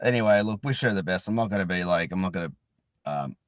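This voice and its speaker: A man's voice: sits low, lively intonation, fast, no echo, studio quality. A man hosting a casual podcast chat, rambling and often repeating and restarting his phrases. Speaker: podcast host.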